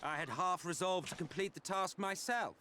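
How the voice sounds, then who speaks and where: A man's voice: sits high, normal speed, a crowd in the background, lightly acted. An actor playing a sardonic, theatrical ancient man who founded an empire, in video game voice acting. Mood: mocking. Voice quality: theatrical, mocking, grandiose. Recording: game audio under loud music. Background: game music.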